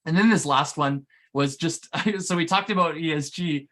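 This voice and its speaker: A man's voice: fairly high pitch, moderate pace, fairly bright tone. A man giving a conversational conference presentation, with frequent restarts and fillers. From Canada. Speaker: conference speaker.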